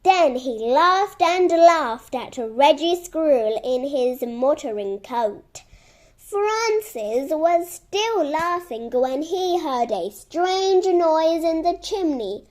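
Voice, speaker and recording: Female children's story narrator: high voice, very slow, deliberate, expressive reading.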